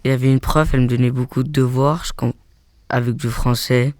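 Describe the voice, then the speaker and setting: A student in his late teens states things confidently and matter-of-factly. His voice is mid-pitched with a flat line, and the recording is dry. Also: brisk, no echo. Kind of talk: personal testimony.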